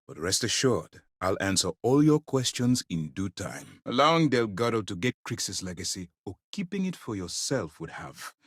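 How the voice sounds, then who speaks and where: A grave, principled commander of a space navy in a video game. His low voice carries formal, measured authority and moves through a very wide range of intonation. The recording is completely dry, with each order delivered in crisp military diction. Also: no room sound, heavily acted. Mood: composed. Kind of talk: scripted video game character lines.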